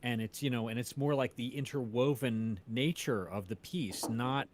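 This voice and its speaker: A man's voice: mid-range voice, normal speed. A male co-host in a casual music discussion, explaining ideas tentatively and checking that he is on track.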